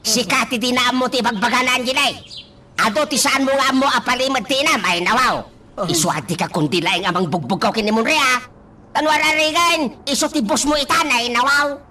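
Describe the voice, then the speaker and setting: An actress playing a loud, scolding older woman in a radio drama, exclaiming sharply again and again. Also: fairly quick, slight background noise. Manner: shrill, exclaiming, repeats "Ay, nawaw!".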